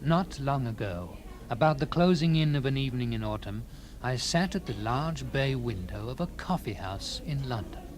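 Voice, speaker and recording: A man reads a gothic tale in a theatrical, deliberate manner, leaving dramatic pauses between phrases. His voice sits at a medium pitch, with clearly audible ambient noise behind it. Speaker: narrator. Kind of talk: dramatic first-person gothic story narration.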